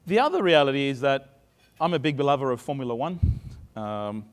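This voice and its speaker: A man's voice: mid-range voice, sing-song, moderate pace. A middle-aged man with an Australian accent, giving a chatty conference talk full of fillers and restarts. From Sydney, Australia. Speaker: conference speaker. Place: conference stage. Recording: reverberant conference hall.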